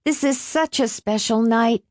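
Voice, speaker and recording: Woman doing a clingy teen boy in an audiobook, begging, pitched up. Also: unhurried, a little mellow, no room sound, clean studio sound, lightly acted. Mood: pleading. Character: earnest, pleading teenage boy, clingy date.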